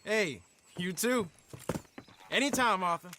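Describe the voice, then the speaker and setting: A keen young American outlaw, high for a man and swinging widely in pitch. He sounds earnest and folksy, in naturalistic western game style. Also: animated, slow, background noise. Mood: upbeat. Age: young adult. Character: eager young male outlaw, keen and streetwise.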